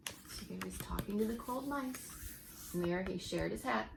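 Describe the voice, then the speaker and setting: A woman's voice: mid-range voice, normal speed, vocal fry, noisy background. A woman reading a story aloud like a preschool teacher, with dramatic emphasis and asides to young listeners. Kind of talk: expressive read-aloud storytelling for young children.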